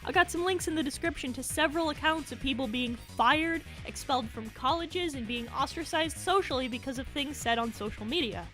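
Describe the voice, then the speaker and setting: A young woman with a strikingly high-pitched voice reads a scripted rebuke. She is accusatory and emphatic, swearing as she goes. Faint music plays underneath her commentary. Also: fairly quick, hardly any pauses, bright, forceful tone. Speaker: video commentator. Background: background music.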